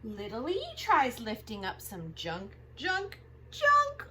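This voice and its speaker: A woman reading aloud to young children as a storytime host, expressive and sing-song, drawing out the sound words. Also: a little high, slow, a little hiss or hum. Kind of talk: animated picture-book read-aloud for young children.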